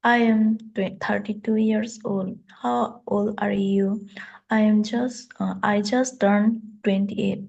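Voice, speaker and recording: Husky, creaky woman practising English, dull audio. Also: mid-range voice, steady tone, a little mellow. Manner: halting, hesitant learner. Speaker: student in beginners' English lesson. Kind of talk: repeating practice phrases.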